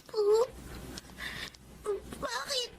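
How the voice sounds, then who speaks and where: A woman's voice: extremely slow, background noise. A woman voicing a sad, polite little girl in a Filipino radio drama, tearful and childlike with a Filipino accent. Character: sad, polite sickly little girl missing her father.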